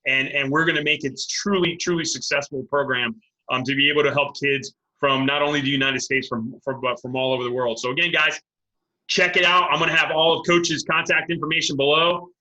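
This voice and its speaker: A man hosting a casual interview, speaking earnestly to viewers in a halting, repetitive way with emphatic appeals. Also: a little high, steady tone, pushed and bright, low-quality recording. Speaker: host, sports recruiting coach.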